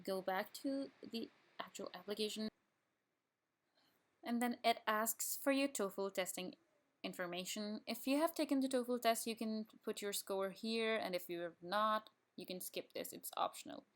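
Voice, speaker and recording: A young woman from Pakistan whose native language is Urdu, calmly guiding viewers step by step through an online application form as a student. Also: medium pitch, normal speed. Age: young adult. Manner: plain step-by-step instructions with frequent restarts. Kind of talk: screen-recorded tutorial walkthrough.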